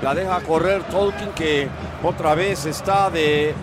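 A man's voice: on the high side, slow. A man giving live play-by-play commentary on a soccer match. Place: open-air soccer stadium. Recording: noisy outdoor stadium broadcast. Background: crowd chants and stadium ambience.

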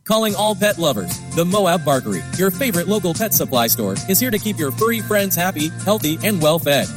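Peppy ad guy, lively swoopy voice, music bed under him. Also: high voice, fairly quick. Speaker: ad announcer. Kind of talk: upbeat local radio ad.

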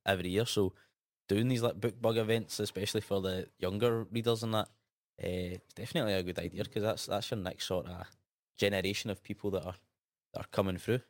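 A young Scottish man with a Gaelic background, co-hosting a casual radio chat in a relaxed, easygoing way. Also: lowish voice, fairly quick, no room sound. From Scotland. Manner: relaxed, laid-back, often tags sentences with "man". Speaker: radio co-host.

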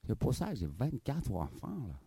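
A man's voice: medium pitch, huge pitch swings, moderate pace, creaky, dark tone, husky, no room sound, exaggerated voice. A man playing a silly, boastful African visitor to Canada in a comedy interview sketch. He keeps calling the host by name and tacks filler tags onto his phrases. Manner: giggly, playful bragging.

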